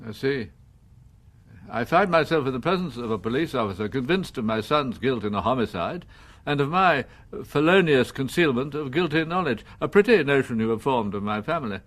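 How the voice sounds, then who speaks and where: An older man with an English accent, acting a proud, icy judge in a radio drama, with formal, measured, pompous diction. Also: mid-range voice, animated, a bit bright. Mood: wounded, coldly ironic.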